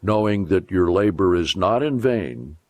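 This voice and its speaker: A man reading scripture aloud as a preacher, in a solemn, deliberate and emphatic declamatory way. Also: low voice, animated, dry recording.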